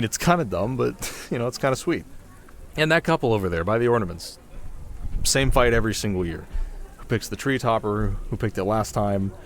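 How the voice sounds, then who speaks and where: A man's voice: on the low side, natural voice. A man playing a cocky, playful young winter spirit in an ASMR roleplay, talking casually with sarcastic, teasing banter. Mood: earnest, wry. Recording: clear outdoor ambience noise behind voice.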